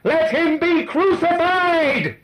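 A man performs a savage mob from a dramatic scripture audiobook. The cries are bellowed and frenzied, thrown far up in pitch with a bloodthirsty, wild-beast roar. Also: expressive, slow pace, fairly bright tone, full character voice. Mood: savage frenzy. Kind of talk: dramatic religious audiobook acting.

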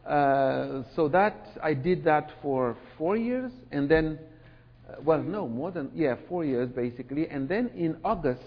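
A middle-aged man from Senegal who grew up in France, giving a talk in a relaxed, conversational way with many fillers and asides. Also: on the high side, a little slow, breathy edge. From Senegal, raised in France. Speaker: speaker, church representative. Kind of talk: sermon-style lecture. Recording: dull sound with long hall reverb.

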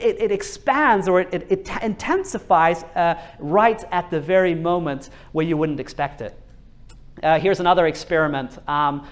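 Earnest male preacher. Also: high-pitched. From Norway. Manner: earnest, repeats words when building a point, asks the audience questions. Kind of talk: sermon.

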